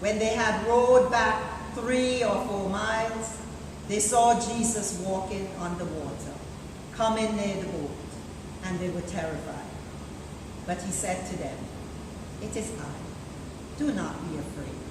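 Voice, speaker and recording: A woman reading scripture aloud as a deacon in a church service, deliberate and solemn. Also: medium pitch, distant mic. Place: large church interior, live service. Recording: echoey church from afar, noisy live recording.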